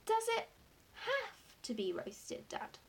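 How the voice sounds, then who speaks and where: A woman's voice: very high-pitched, huge pitch swings, slow, vocal fry, pitched above the performer's own voice, exaggerated voice. A woman voicing a young boy in a storybook, curious, wondering and full of questions. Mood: curious, doubtful. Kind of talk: acted audiobook dialogue.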